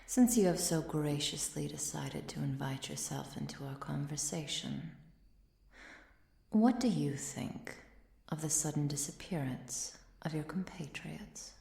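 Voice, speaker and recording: Woman playing ancient vampire countess, ASMR roleplay, soft whispery delivery, menacing elegance, dark mellow tone, very dry close mic. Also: low-pitched, lively intonation, roomy sound, exaggerated voice. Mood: teasing, silky. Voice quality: soft, breathy, often dropping to a whisper. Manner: measured, formal, archaic diction.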